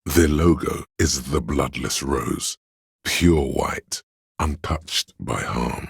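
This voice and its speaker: Very deep, gravelly know-it-all inner voice. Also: male voice, no room sound, clean studio sound, heavily acted. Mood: erudite, insistent. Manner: measured, erudite, matter-of-fact, pedantic. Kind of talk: scripted game narration of trivia and facts.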